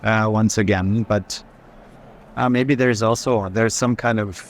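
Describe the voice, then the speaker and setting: A man's voice: on the low side, fairly even intonation, unhurried, slight background noise. Man in a casual walking art conversation. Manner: tags statements with a questioning "no?". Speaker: art institution director in conversation.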